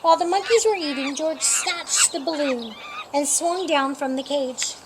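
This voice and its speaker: A woman reading a picture book aloud as children's storytime, with deliberate, expressive phrasing for young listeners. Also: high-pitched, noisy background.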